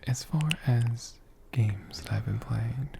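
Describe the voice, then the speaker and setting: An ASMR host whispering softly and gently, with a breathy voice and deliberate pauses. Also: mid-range voice, noisy background. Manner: gentle, slow, deliberate, with pauses mid-sentence. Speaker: host of an ASMR channel answering viewer questions. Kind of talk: soft-spoken whispered ASMR.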